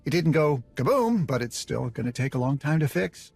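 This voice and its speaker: An actor playing a nervous, chatty smuggler informant in a video game, with a husky voice and slangy, rambling way of talking. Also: male voice, on the high side, very animated, normal speed, darkish tone, dry recording, exaggerated voice. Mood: breezy, excited. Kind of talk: video game dialogue acting. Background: music.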